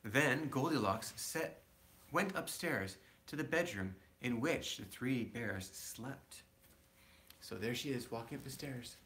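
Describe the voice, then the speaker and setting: Low-voiced dad reading a storybook aloud. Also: male voice, lively intonation, slow pace, a bit bright, slight background noise. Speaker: father reading aloud to child. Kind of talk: casual storybook read-aloud.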